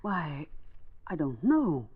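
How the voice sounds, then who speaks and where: An actress playing an older aunt, hesitant yet stern, in a 1940s radio mystery. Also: female voice, deep voice, big pitch swings, takes it slowly, husky, full character voice. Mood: worried, doubtful. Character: older, doubtful, indignant aunt. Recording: dull lo-fi old radio recording.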